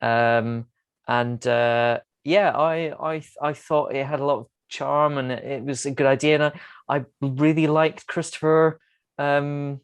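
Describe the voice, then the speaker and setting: A man's voice: mid-range voice, fairly even intonation, clear, resonant tone, no room sound. Hesitant man chatting, dry close sound. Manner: hesitant, halting. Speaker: podcast panellist, show regular. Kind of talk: casual film chat.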